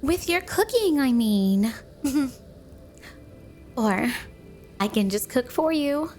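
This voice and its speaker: Woman acting a high-voiced flirty snow spirit wife. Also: lightly acted. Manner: playful, coy, doting, teasing. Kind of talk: ASMR roleplay spoken to the listener.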